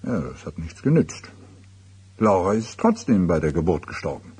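Radio drama role: reserved professional, low-pitched and very husky, measured, hesitant delivery. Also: male voice, big pitch swings, a little mellow, exaggerated voice. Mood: sober, pensive. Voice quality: deep, husky. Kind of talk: German radio play acting.

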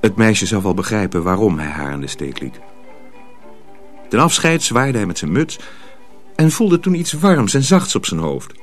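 A man's voice: on the low side, big pitch swings, moderate pace, faint music behind. A man narrating a radio drama as a scripted storyteller.

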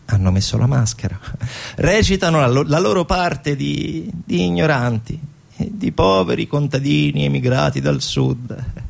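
A man's voice: fairly high pitch, animated, a little slow, gravelly. A man performing a theatrical narrative monologue, dramatic and emphatic with repeated phrases. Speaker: stage actor and narrator. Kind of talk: theatrical monologue storytelling about organised crime. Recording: guitar music behind the voice. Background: guitar music.

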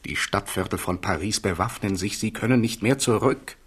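A man's voice: fairly low pitch, normal speed, fairly bright tone, husky. An actor playing a ruthless young prince in a German radio drama, formally and insistently urging his royal brother on. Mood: urgent, insistent.

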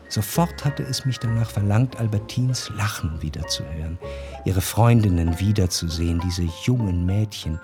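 A man's voice: fairly low pitch, mellow. Reflective male narrator over music. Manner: contemplative, lyrical, measured phrasing. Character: reflective, nostalgic gentleman narrator recalling his past. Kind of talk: literary radio drama narration. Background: faint instrumental music.

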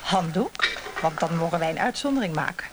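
An actress playing a pushy, flirtatious chambermaid in a radio play, chirpy and insistent. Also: female voice, fairly low pitch, lively intonation, a little fast, natural voice. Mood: insistent, suggestive. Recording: noisy background.

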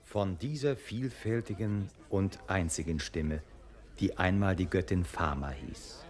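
An actor playing a sober, reflective narrator who tells a literary radio tale in a deliberate, thoughtful manner. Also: male voice, low-pitched. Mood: measured.

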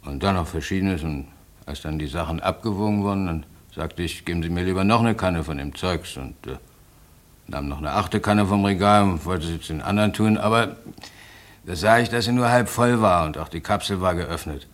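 An actor playing a plain-spoken ship's captain giving testimony haltingly in a 1950s German radio play. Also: male voice, low-pitched, lightly acted. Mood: matter-of-fact. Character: plain-spoken ship's captain, hesitant, matter-of-fact witness.

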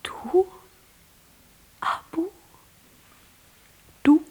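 An actress playing a frail, yearning young woman, once a slave, in a poetic, theatrical and often breathy radio drama style. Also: extremely slow, a little mellow, whispered. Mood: trembling wonder. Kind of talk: literary German radio drama acting.

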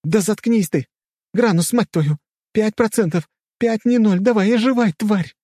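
Casting: hot-headed young woman played by a man, shrill high register, foul-mouthed, desperate, commanding, audiobook drama. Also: a little slow, dry recording. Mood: furious grief. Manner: shouting, swearing, frantic. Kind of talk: dramatic audiobook character acting.

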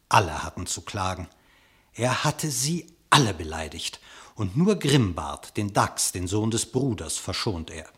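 A man narrating a literary radio drama in verse, with a measured, declamatory delivery. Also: medium pitch, breathy edge.

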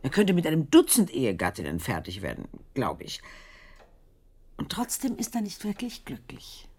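An actress playing a shrewd, candid wife in a German radio drama, with a husky, creaky voice and a deliberate, knowing manner that drops into whispers. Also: female voice, low-pitched, huge pitch swings, warm tone, exaggerated voice.

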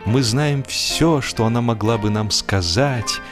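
A man narrating a literary story in an expressive, dramatic radio style, telling it in the first person with deliberate pauses. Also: medium pitch. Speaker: audiobook narrator, actor. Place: radio studio. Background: music.

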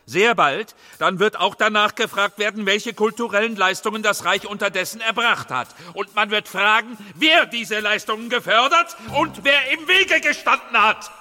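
An actor playing an obsessive, commanding film director in a German radio drama, insistent and emphatic. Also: male voice, high-pitched, moderate pace, few pauses, sharp tone. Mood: insistent, menacing. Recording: reverberant hall with music bed.

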